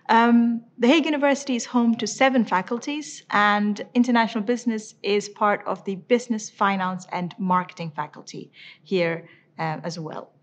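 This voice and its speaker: A woman senior lecturer presenting a university degree program in a measured way, with frequent ums and uhs. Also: mid-range voice.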